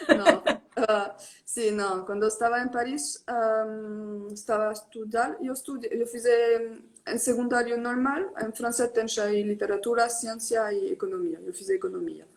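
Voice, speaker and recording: Guest: young woman, high voice, hesitant code-switching speech over a video call. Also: fairly even intonation, normal speed, darkish tone. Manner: mixes Spanish and Portuguese, hesitant with many fillers. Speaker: tattoo artist guest. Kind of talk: casual online live interview.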